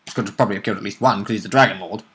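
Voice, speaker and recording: A man's voice: on the low side, racing, a bit bright, a little hiss or hum. A man co-hosting a casual game discussion, joking and commenting in a blunt, sarcastic way. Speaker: co-host.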